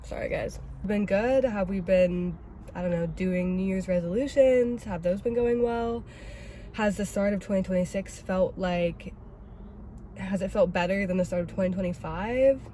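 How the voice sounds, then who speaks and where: A young woman with a cold, sounding raspy and stuffy, chatting casually and rambling in a self-critical way as she vlogs from her car. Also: mid-range voice, moderate pace, dark tone, slight background noise. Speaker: vlogger host. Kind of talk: casual oversharing vlog talk. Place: inside a parked car.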